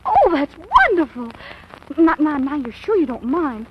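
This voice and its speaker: High-voiced, lovestruck teenage girl. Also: big pitch swings, normal speed. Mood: flustered, smitten. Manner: gushing, breathy hesitations and exclamations. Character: lovestruck, melodramatic fifteen-year-old girl, aspiring writer. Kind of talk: 1940s radio acting. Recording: muffled, noisy vintage radio recording.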